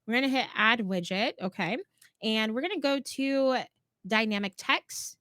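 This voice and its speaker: A woman's voice: a little high, normal speed, sharp tone, dry recording, clean studio sound. A woman co-hosting a live stream tutorial, upbeat and affirming as she walks viewers through each step, her voice a little worn. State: slightly losing her voice. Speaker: co-host, platform guide.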